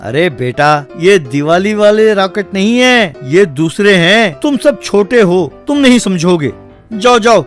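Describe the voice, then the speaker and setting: A man's voice: high voice, animated, measured pace, faint music behind. A man voicing a cocky, secretive village uncle who brushes children off in a cartoon comedy story. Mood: dismissive, secretive. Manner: colloquial, boastful, brushing children off.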